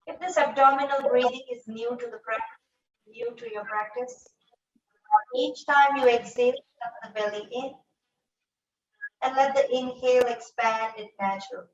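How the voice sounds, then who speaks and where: A woman leading a guided breathing meditation in a slow, soft, calm voice with long pauses. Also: fairly high pitch, a little hiss or hum. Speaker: yoga teacher. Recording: echoey room, voice from a distance, dull sound.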